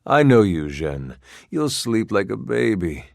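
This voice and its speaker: An actor playing a sly, menacing demonic spirit in a video game, with a silky, mockingly courteous delivery and a husky deep voice. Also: male voice, very animated, a little slow, no echo, studio quality, full character voice. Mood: wry. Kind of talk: dramatic video game character acting.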